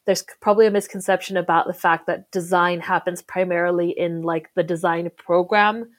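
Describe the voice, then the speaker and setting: A woman with a fairly low voice, from the Philippines and raised in Sweden, talking as a guest in a relaxed interview. She speaks in an articulate, measured way, qualifying her points with careful hedges. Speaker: UX designer, podcast guest. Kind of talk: casual interview talk.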